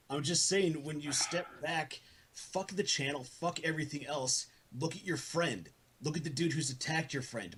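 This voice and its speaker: A man's voice: on the high side, fairly bright tone, slightly rough. A man arguing informally, earnest and blunt, swearing freely as he makes his case. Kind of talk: heated informal argument.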